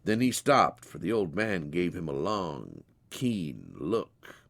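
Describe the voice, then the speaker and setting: A male storyteller reads a folk tale with a lot of expression. His pitch rises and falls widely, and his delivery is folksy and theatrical. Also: big pitch swings, takes it slowly, creaky, a bit bright. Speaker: storyteller, narrator. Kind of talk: dramatized scripted narration of a folk tale.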